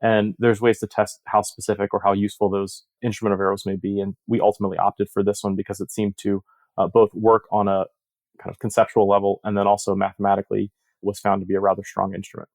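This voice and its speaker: Low, even-voiced man explaining. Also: fast, no echo. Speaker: interview guest, surgical researcher. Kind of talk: explanatory interview talk.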